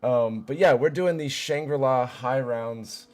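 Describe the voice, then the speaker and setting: Hoarse man, young adult, losing his voice, casual livestream chatter, lots of fillers. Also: mid-range voice, measured pace, darkish tone. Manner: loose and chatty, many fillers, greets viewers. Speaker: streamer. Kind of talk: casual gaming livestream chat.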